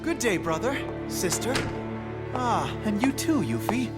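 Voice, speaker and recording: An actor playing a proud, soft, artistic young prince in an English anime-dub audio drama, polished and genteel. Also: male voice, sits high, very animated, a little slow, over loud music. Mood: polite, airy.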